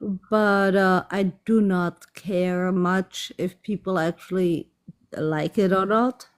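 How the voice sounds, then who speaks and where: A woman's voice: on the low side, steady tone, slow pace. A woman from Germany, a guest on a live stream, chatting in an easygoing, friendly way. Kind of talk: casual chat.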